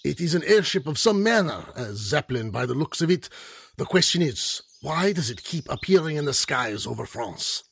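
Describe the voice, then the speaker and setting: A man's voice: on the high side, very animated, moderate pace, gravelly, breathy edge, full character voice. A man playing a grandiose, confident time-travelling inventor with precise, formal, theatrical speech in an old-time radio adventure.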